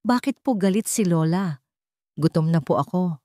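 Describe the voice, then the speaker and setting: A woman's voice: fairly low pitch, expressive, moderate pace, dark tone, dry recording, clean studio sound, natural voice. Lady doing a tiny anxious 5 yr old girl for an audio story, small shaky innocent voice. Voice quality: small, trembling.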